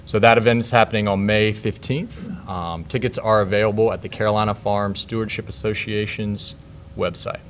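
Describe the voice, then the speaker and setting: A low-voiced man answers a county board's questions on behalf of a local council. He speaks in a measured way, leaning on fillers. A faint low rumble sits in the background. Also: steady tone. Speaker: council representative. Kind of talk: answering questions at a public meeting. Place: county board meeting room.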